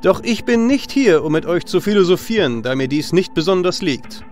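A man's voice: high voice, lively intonation, normal speed, a little mellow, soft background music, voice pushed up. A man voicing an arrogant, brave mythical hero in a fantasy audio drama, with pompous, formal, self-assured delivery. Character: arrogant, brave young male Greek-myth hero.

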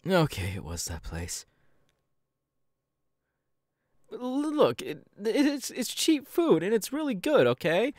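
A man's voice: high voice, wildly swooping pitch, moderate pace, a little mellow, no echo, studio quality. A man playing a friendly, bashful young hero with a hesitant, playful manner in an ASMR audio roleplay. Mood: flustered.

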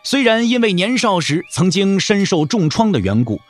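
A male storyteller narrates a martial arts drama with emphatic phrasing. His voice sits a little high and swings widely in pitch. It is recorded dry and close. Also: sing-song, moderate pace, dry recording, soft background music. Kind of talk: dramatic scripted audiobook narration.

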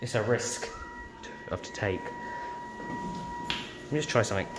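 A man's voice: medium pitch, huge pitch swings, measured pace. A young man giving a casual running commentary on a handheld phone inside a lift. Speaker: amateur lift vlogger. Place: lifts and lobby inside a public building. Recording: handheld phone, loud background noise, clicks.

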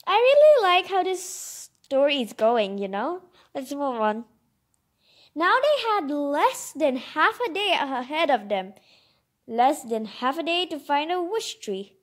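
High voiced girl or woman reading a kids book out loud, real swoopy tone, chatty little comments. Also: sing-song, takes it slowly. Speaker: storybook reader and commentator. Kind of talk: read-aloud storytelling with chatty asides.

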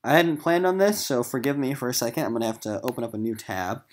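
A man with a mid-pitched voice teaches literature to middle schoolers in a recorded lesson. He talks informally and conversationally, addressing the students directly as he explains what he expects. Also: quick pace. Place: voice-over on a screen recording of slides.

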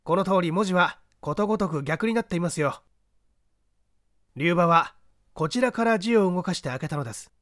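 A man's voice: sits high, lively intonation, moderate pace, long pauses, fairly bright tone, no echo, studio quality. A man voicing a coolly confident male detective in an audiobook, politely commanding and explaining. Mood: assured. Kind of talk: audiobook character dialogue.

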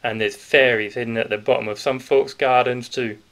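A man's voice: mid-range voice, fairly even intonation, moderate pace, fairly bright tone, natural voice. A man voicing a stout, sly, cheerful male wartime grocer with a Yorkshire accent, in a knowing, conspiratorial manner. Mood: jovial.

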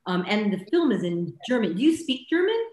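A woman's voice: medium pitch, big pitch swings, brisk, a little mellow. A middle-aged woman moderating a casual panel interview over a video call, with a roomy sound. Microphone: computer mic on video call.

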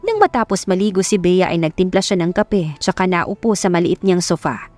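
A woman's voice: fairly low pitch, lively intonation, normal speed, darkish tone, faint music behind. A woman with a Filipino accent narrating a scripted romance story as an audiobook narrator.

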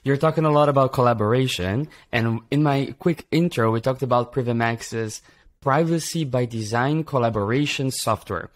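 A man's voice: mid-range voice, normal speed. A young man hosting a podcast, asking casual interview questions into a close desk microphone. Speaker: podcast host, program director. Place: small home office indoors, on a video call. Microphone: desk microphone with pop filter.

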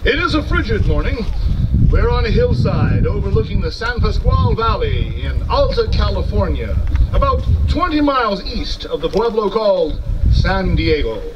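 Man playing solemn anchorman, lively high voice, measured declamatory delivery, distant outdoor microphone, clear background noise. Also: lo-fi sound. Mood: solemn, formal. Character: famous American TV news anchorman reporting solemnly from history. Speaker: narrator and news anchor. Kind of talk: theatrical news reporting, reading from a script. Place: outdoor amphitheater in a park. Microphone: stand microphones, far camera. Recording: outdoor PA from far off, noisy.

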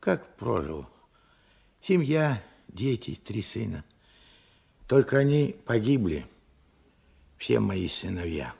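Gravelly, weary old man. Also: on the low side, big pitch swings, slow pace, long pauses, darkish tone, heavily acted. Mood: wistful, regretful. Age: 70s. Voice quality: gravelly, husky. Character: weary, frank 78-year-old Russian worker recalling his life. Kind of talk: Soviet radio drama acting.

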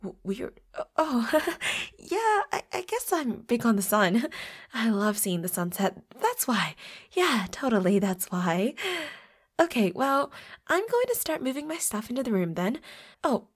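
Woman acting a lively, breathy vampire roommate. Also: on the high side, unhurried, exaggerated voice. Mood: playful, cheeky. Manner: stammering, playful, breathy asides in whispers. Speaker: voice actor. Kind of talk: flirty audio roleplay acting.

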